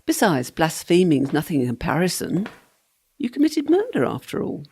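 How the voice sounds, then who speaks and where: A woman's voice: fairly low pitch, wildly swooping pitch, normal speed, vocal fry, darkish tone, slight background noise, heavily acted. A woman playing the ghost of a flippant young servant in a comic radio play, sarcastic, teasing and breezy. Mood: flippant, needling.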